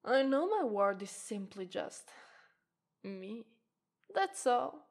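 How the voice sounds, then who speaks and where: An alluring witch drawls out her flirtatious teasing in a slightly high voice, played by a woman. She takes her time over every word, unhurried and playful. It is an ASMR roleplay, voiced by an Italian native speaker. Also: sing-song, full character voice. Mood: musing.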